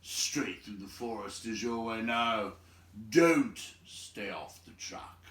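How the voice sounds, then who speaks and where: A man voicing a brisk, bossy old wizard from a storybook, with an emphatic, scolding and teasing manner. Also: on the low side, a bit bright, roomy sound, distant mic. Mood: stern farewell.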